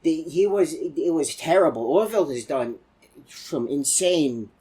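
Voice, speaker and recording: A man voicing a chatty, excitable elderly animatronic in a video game, telling folksy, rambling stories in a husky old voice. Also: on the high side, measured pace. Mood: rambling reminiscing. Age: older. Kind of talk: rambling game character monologue.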